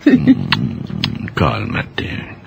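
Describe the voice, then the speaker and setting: A deep, gravelly voice on a slightly noisy old radio recording: a devoted doctor husband. He speaks very slowly, hushed and reassuring. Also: huge pitch swings, full character voice. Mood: tender, soothing. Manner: hushed, soothing. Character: soothing devoted husband and psychiatrist, tender, quietly reassuring. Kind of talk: radio horror drama acting.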